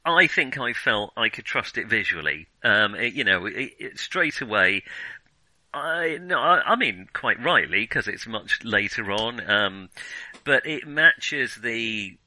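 A man's voice: lowish voice, expressive, unhurried, bright, forceful tone, no room sound, rough recording. A man co-hosting a casual film discussion, talking hesitantly and often circling back to rephrase himself. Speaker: film discussion podcast co-host. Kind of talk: casual film review chat.

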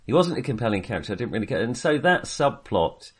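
A man's voice: mid-range voice, sing-song, quick pace, slightly rough. A man co-hosting a film podcast in casual discussion, hesitantly circling back and qualifying his points. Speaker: film review podcast co-host.

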